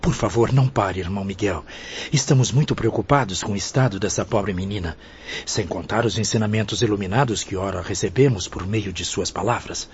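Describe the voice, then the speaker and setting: A man's voice: fairly low pitch, fairly quick, slight background noise, close to an everyday voice. A man playing a worried, respectful listener in a radio drama, speaking in an earnest, entreating way. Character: worried, respectful male listener among spirits. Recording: dull radio-play recording, faint ambience.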